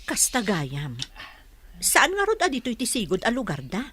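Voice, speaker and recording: A woman's voice: fairly high pitch, wildly swooping pitch, moderate pace, slightly rough, dry recording, full character voice. A woman playing a kindly, concerned neighbour with an Ilocano accent, inquisitive and sympathetic in a radio drama. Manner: inquisitive, sympathetic, gently reproachful.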